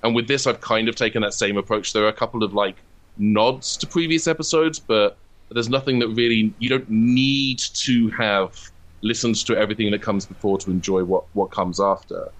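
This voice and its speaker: British guy, kinda low voice, chatting in an interview, explains stuff, pokes fun at himself. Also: fairly even intonation, normal speed, a bit bright. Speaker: guest, comedy writer. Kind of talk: casual interview talk.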